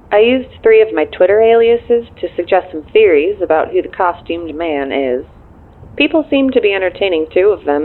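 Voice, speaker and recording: An actress playing a dryly wry, efficient and loyal assistant in an audio drama, speaking through a phone filter with a deadpan manner. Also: female voice, fairly low pitch. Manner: deadpan, dry. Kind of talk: naturalistic audio drama acting. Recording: thin narrow phone-line sound.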